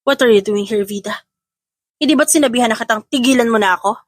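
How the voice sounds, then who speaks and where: A woman's voice: a little high, fast, dry recording, close to an everyday voice. A woman playing a defiant, protective new mother in an audio drama, speaking firmly and with emotion. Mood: defiant, angry. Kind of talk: Tagalog audio drama acting.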